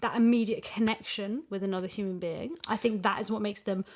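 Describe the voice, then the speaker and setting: A woman's voice: medium pitch, steady tone, brisk. A woman in a casual discussion, thinking ideas through aloud with thoughtful emphasis. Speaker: audio drama voice actress.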